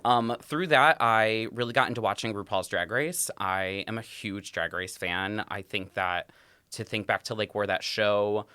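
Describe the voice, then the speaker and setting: A man's voice: lowish voice, smooth, no echo. A man of about thirty from Ohio, a drag performer and salon manager, chatting freely and expressively as a podcast guest in a studio. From Toledo, Ohio, USA. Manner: chatty and expressive, quick to laugh. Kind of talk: casual interview chat.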